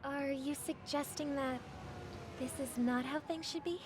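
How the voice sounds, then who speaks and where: Earnest, high-voiced, slow-speaking young lady. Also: steady tone, background noise. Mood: troubled, pensive. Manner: pensive, measured, questioning. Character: gentle, earnest young lady, troubled idealistic sister. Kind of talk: video game voice acting. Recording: music under the voice.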